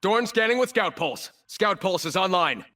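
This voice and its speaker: Video game operator: ruthless corporate soldier, high, sharp voice, clipped orders, cold contempt. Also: male voice, animated, normal speed. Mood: clipped, commanding. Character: ruthless, arrogant male military operator and cold corporate commander. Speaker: playable operator in a military shooter game. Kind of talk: video game combat barks and callouts.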